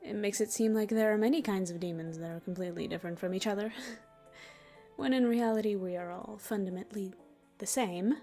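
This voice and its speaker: Casting: woman as female demon for ASMR roleplay. Medium pitch, breathy near-whisper delivery, teasing but tender, over a music bed. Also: warm tone, natural voice. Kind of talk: intimate roleplay ASMR voice acting.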